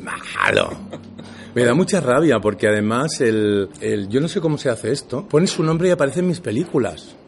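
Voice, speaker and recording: A man's voice: mid-range voice, moderate pace, creaky. A middle-aged man from Seville, an actor, talking casually in an interview and acting out the dialogue in his stories. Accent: neutral Castilian Spanish for work, Andalusian at home. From Seville, Spain. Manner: animated storyteller, acts out quoted dialogue.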